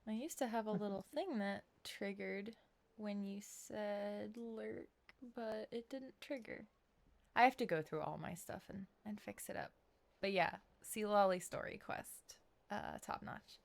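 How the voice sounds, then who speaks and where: A woman's voice: medium pitch, unhurried, gravelly. A woman streaming a video game, giggly and chatty, with casual commentary into a streaming microphone. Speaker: streamer, VTuber.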